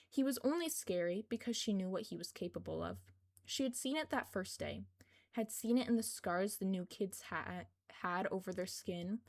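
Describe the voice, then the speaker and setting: A woman's voice: medium pitch, darkish tone. A woman reading scripted fiction aloud as narrator of a fan fiction podfic.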